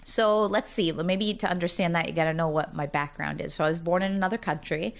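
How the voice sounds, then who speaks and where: Low-voiced chatty woman in her forties. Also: fairly even intonation, brisk, gravelly. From United States, Massachusetts. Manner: talkative, upbeat storyteller who often laughs while talking. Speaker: college dean. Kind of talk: casual interview conversation.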